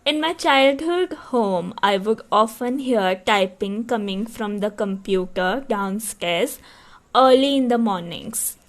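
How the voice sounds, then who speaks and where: A woman's voice: medium pitch, slow pace. A woman co-hosting a podcast, chatting casually and reading a story, reacting with murmurs and laughs. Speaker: podcast co-host.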